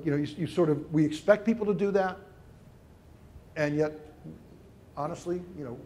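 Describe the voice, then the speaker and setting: A middle-aged man, an education researcher, answering interview questions in an expansive, discursive way with lively emphasis. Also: a little high, a little hiss or hum.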